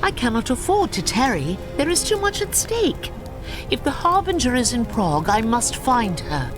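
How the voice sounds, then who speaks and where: A resolute elf warrior. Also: female voice, on the high side, very animated. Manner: formal, determined, measured speech. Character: resolute elf warrior woman, noble and driven. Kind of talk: game cutscene voice acting. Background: orchestral game music.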